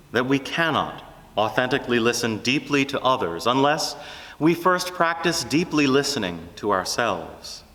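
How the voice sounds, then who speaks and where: A man preaching a reflective, prayerful sermon, speaking earnestly in measured phrases with deliberate pauses. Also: mid-range voice, sharp tone, long reverb tail.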